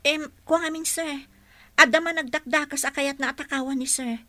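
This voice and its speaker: A bright, high-voiced dutiful caregiver. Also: female voice, fairly even intonation, fairly quick, no echo. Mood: respectful, defensive. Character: dutiful, respectful female caregiver to her boss. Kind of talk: radio drama acting.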